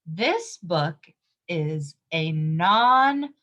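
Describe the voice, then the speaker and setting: A woman's voice: lowish voice, big pitch swings, drawn-out words, sharp tone, dull audio. A woman reading a picture book aloud to young children, explaining things simply and emphatically and asking her listeners questions.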